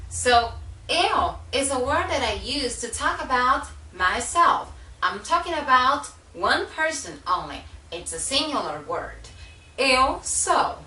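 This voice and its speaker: A woman from Brazil with a Brazilian accent, patiently teaching a language lesson and repeating words slowly. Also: high voice, pushed and bright. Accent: Brazilian Portuguese. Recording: echoey room, mic at a distance.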